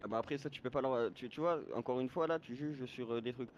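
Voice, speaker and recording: A man speaks quickly at a medium pitch, arguing his point in an online role-play game chat. He lectures like a server moderator, spelling out the rules and checking that the listener follows. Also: muffled recording, a little hiss or hum. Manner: lecturing, repeatedly checks he is understood.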